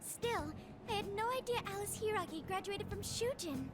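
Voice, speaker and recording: A woman's voice: extremely high pitch, lively intonation, normal speed. An actress playing an earnest young female companion in a video game, polite and matter-of-fact. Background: game music.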